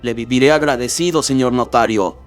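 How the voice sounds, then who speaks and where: A humbly grateful freed convict, acted by a man in a radio melodrama. He speaks submissively at an average pitch, with faint music behind. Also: a little fast, close to an everyday voice. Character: freed criminal, humbly grateful to his rescuer. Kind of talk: melodramatic radio horror drama acting.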